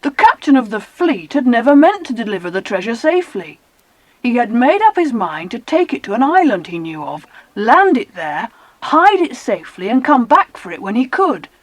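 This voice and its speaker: A woman voicing well-spoken, eager British children in a dramatized adventure audiobook, with expressive storytelling. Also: a little high, moderate pace, no echo.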